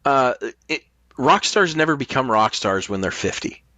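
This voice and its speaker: A man's voice: mid-range voice, animated, normal speed, pushed and bright, no echo. A middle-aged American man in casual, opinionated chat, making his points emphatically and swearing freely.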